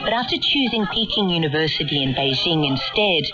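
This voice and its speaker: A woman's voice: low-pitched, animated, fairly quick, over music. Woman radio reporter on muffled shortwave. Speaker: reporter and presenter. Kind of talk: scripted documentary narration and field interviewing. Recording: muffled shortwave radio capture, crowd-like background.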